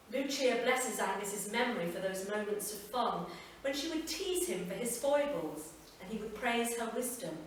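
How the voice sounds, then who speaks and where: A woman reads a literary story aloud at a live festival reading. She is captured from a distance, so her voice sits back in a very echoey room with a faint hum of ambience behind her. Her reading is measured and unhurried, and her lines settle softly at the ends. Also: medium pitch. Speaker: reader.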